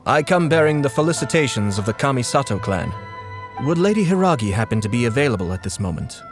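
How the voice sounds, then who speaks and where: A man playing a courteous, shrewd young nobleman in a video game, speaking in a formal, measured, polished way. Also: mid-range voice, big pitch swings, natural voice. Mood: polite. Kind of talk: English video game voice acting. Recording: background music under the voice.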